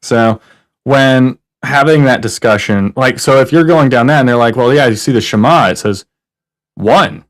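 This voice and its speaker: A man co-hosting a live reaction stream, talking casually and acting out his opponents' replies. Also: moderate pace. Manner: animated, mimics opponents in a higher voice.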